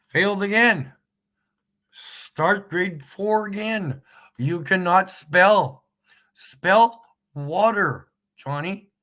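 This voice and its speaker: A man's voice: on the high side, fairly bright tone. A man with a Canadian accent, live streaming as he makes a prank phone call and then rants in a mocking, sarcastic way.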